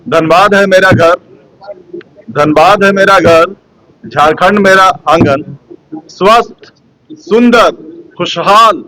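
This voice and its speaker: A man's voice: a little high, a bit bright, dry recording, rough recording. A man giving a rousing message at a community event, reciting slogans. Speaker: event speaker. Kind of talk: motivational speech to press.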